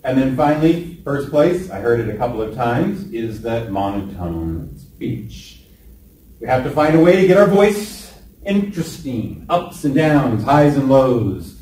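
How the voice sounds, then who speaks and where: Trainer in an echoey room, heard from afar. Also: male voice, mid-range voice, big pitch swings, a little mellow. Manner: upbeat, calls on trainees by name, slows down to stress points. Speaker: presentation skills trainer. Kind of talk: classroom workshop lecture. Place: large training room indoors. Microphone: room camera from a distance. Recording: echoey room, distant mic.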